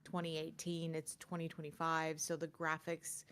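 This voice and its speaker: A woman with a slightly low voice co-hosts a gaming news show. She is chatty and informal, wandering through her explanations. At times she shifts into reading prepared copy before returning to casual talk. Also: completely flat delivery, normal speed, fairly bright tone, a little hiss or hum. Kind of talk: casual chat with some scripted reading.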